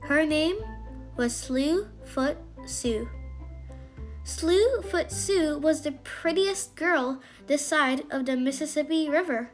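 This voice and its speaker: A woman narrating a scripted children's bedtime story in an expressive, emphatic way. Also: sits high, slow pace, faint music behind.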